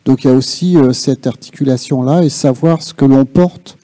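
A man speaking off the cuff at a public discussion, halting and reflective as he searches for his words. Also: medium pitch, warm tone, dry recording. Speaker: audience participant in a policy workshop. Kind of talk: spontaneous spoken remarks at a public discussion.

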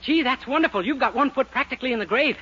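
On a noisy old radio recording, a naive young man who rattles along quickly. He is earnest and easily flustered, a lovesick boarder from a 1940s sitcom. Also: very high voice, animated, highs cut off. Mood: excited. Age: young adult.

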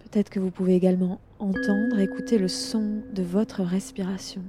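A woman guiding a yoga nidra relaxation, speaking gently and soothingly in unhurried instructions with long pauses. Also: mid-range voice, flat delivery. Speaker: yoga nidra meditation guide. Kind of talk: guided relaxation meditation. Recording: loud meditation music under the voice. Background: loud instrumental relaxation music.

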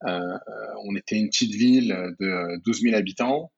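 A man's voice: lowish voice, normal speed, breathy edge, lo-fi sound. Hesitant man from the Paris region. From France, Paris region. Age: 30s. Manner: hesitant, many fillers and restarts. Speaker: guest entrepreneur. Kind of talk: casual interview talk.

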